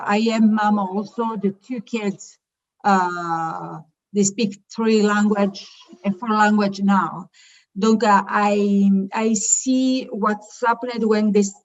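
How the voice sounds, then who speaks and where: A middle-aged woman gives an informal business presentation in English, haltingly searching for words. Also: mid-range voice, lo-fi sound. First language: not English. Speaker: childcare founder presenting her business.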